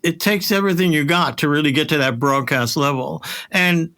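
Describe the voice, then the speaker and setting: A man's voice: a little high, fairly quick, sharp tone. A man talking casually and emphatically about his industry, as a guest in conversation. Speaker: interview guest, voice casting professional.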